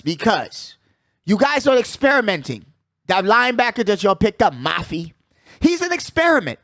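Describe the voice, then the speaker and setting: High-pitched, animated man talking sports. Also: no echo, studio quality. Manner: emphatic, repeats phrases, slangy asides. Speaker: sports talk show host. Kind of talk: casual sports debate and commentary.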